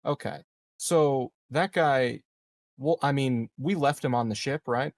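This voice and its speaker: A man playing a tabletop game, casually planning out loud in halting fragments. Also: mid-range voice, dry recording. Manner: halting, thinks aloud in fragments. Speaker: player in a tabletop roleplaying game.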